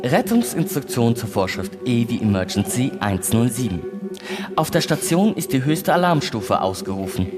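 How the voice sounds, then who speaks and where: A man's voice: medium pitch, big pitch swings, normal speed, close to an everyday voice. A man playing an emotionless space station computer in a science fiction radio play, announcing in precise, bureaucratic language. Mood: formal, impassive alarm. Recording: loud music and effects behind. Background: music and sound effects.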